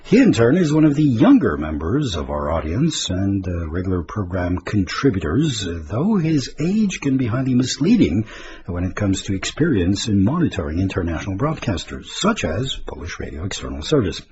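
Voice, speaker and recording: A man's voice: low voice, sing-song, moderate pace, highs cut off, a crowd in the background. A man presenting a radio programme, reading scripted narration. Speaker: radio host.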